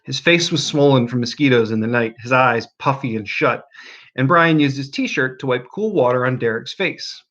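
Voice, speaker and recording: A man reading a novel aloud as an expressive narrator, with deliberate, dramatic pauses and emphasis. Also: medium pitch, dull audio.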